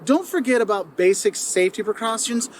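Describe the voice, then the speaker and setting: A man's voice: high-pitched, expressive, a little fast, a little hiss or hum. A man from the United States talking to viewers in a vlog, giving upbeat, direct tips. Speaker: video creator giving tips.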